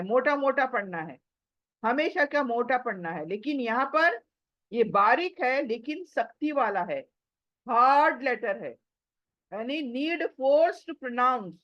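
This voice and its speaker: A woman teaching Quranic recitation, sounding out letters over and over and explaining them step by step, with English phrases mixed in. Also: high voice. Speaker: tajweed teacher. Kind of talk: slow explanatory recitation lesson. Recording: dull lo-fi recording.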